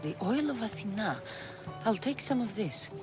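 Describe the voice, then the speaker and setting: A woman's voice: mid-range voice, very animated, normal speed, music in the background, lightly acted. An actress playing a blunt, determined Spartan mercenary woman in a video game cutscene. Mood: insistent.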